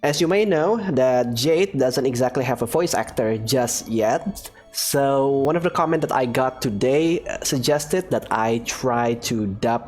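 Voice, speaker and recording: A woman's voice: rumbling low, a little slow, darkish tone, faint music behind. A woman casually introducing her fan dub of a game, with fillers and a rambling manner. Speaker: game narrator and fan dubber.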